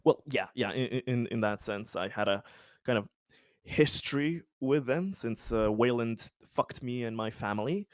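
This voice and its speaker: A man's voice: mid-range voice, animated, a little slow, no echo, clean studio sound. A man playing a tabletop RPG, casually recapping his character's schemes in a rambling, sweary, self-amused way.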